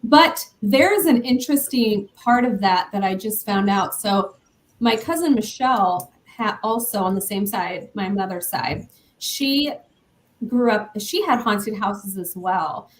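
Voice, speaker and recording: A young woman from Iowa, a guest in a casual interview, friendly and quick to laugh. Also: mid-range voice, animated.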